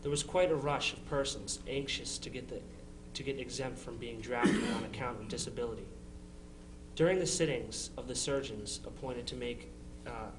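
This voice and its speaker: A man reading haltingly as an earnest, opinionated brother writing to his soldier brother in a period dramatization. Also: mid-range voice, close to an everyday voice. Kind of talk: dramatic reading of Civil War letters. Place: live historical society presentation. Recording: noisy live recording with hum.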